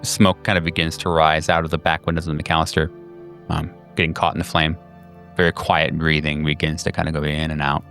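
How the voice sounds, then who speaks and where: A man with a low voice narrates a horror tabletop game as its game master. He feels his way through the scene with drawn-out pauses. Faint music plays underneath. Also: little pitch movement, vocal fry, fairly bright tone.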